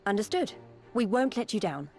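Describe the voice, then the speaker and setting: An actress playing an eager, earnest young adventurer in a video game, politely keen. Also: female voice, medium pitch, animated, moderate pace, dark tone, faint music behind, lightly acted. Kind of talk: scripted video game dialogue.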